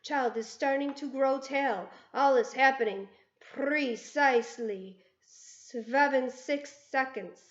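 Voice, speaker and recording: A woman voicing a ruthless chief witch who speaks in clipped, broken grammar and gloats loudly. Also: high-pitched, slow, pitched above the performer's own voice. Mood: gloating. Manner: gloating, triumphant shouting. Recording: roomy, dull lo-fi sound.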